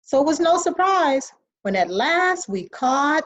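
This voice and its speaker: A woman teacher reads a children's picture book aloud in a fairly high voice. Her intonation moves up and down a lot as she addresses young listeners in an instructional way. The recording is slightly dull and lo-fi. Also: very animated, measured pace.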